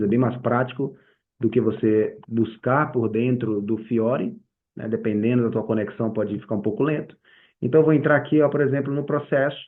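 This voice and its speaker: A Brazilian man teaches in a screen-share tutorial, with an ordinary mid-pitched voice. He explains step by step in a conversational way, dropping in short tag questions to check that listeners follow. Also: narrow, tinny audio. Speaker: software tutorial instructor.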